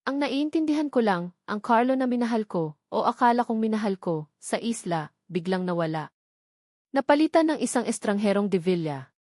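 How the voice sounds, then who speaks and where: Babaeng gumaganap na matatag at maingat na dalaga. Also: female voice, mid-range voice, animated, moderate pace, a little mellow, dry recording, studio quality, natural voice. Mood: hurt. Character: wary, firm young pregnant woman in a romance. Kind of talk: audiobook drama dialogue.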